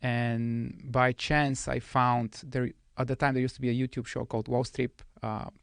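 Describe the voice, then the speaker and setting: Man, from Bulgaria, thirties, gravelly, measured, podcast guest, dry studio. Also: medium pitch, flat delivery, dry recording. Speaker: guest trader. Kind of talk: podcast interview.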